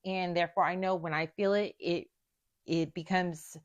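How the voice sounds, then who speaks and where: A woman's voice: fairly low pitch, fairly even intonation, highs cut off. A woman in recovery, a mother of a young child, talking candidly about her past and often stopping to search for words. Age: adult with a young son. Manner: halting, searching for words, many restarts. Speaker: daughter in recovery. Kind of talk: candid personal conversation.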